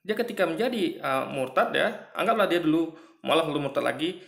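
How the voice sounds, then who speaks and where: A man giving a halting, filler-filled religious commentary on a video he is reacting to, often repeating himself and cutting in. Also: fairly high pitch, lively intonation, gravelly, a bit bright. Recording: roomy echo.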